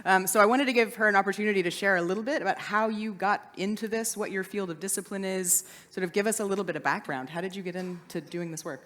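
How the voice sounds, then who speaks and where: A woman's voice: medium pitch, fast talker, hardly any pauses, a bit bright. A woman moderating an on-stage conversation, speaking in articulate, flowing phrases. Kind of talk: on-stage interview questions. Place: festival stage. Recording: long hall reverb.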